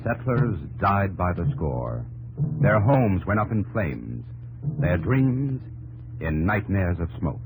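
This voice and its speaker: A man narrates in the declamatory style of 1950s radio drama. His fairly low voice rises and falls dramatically, with music clearly playing behind it. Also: very animated, takes it slowly, rough recording.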